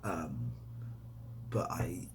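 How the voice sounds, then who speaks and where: A man chatting casually and softly, often nearly whispering, in a rambling, self-deprecating way as he answers questions. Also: rumbling low, animated, very slow, noisy background. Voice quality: soft, breathy, often near whisper. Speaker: ASMR video creator. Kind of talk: softly spoken casual Q&A chat. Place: indoors next to a window.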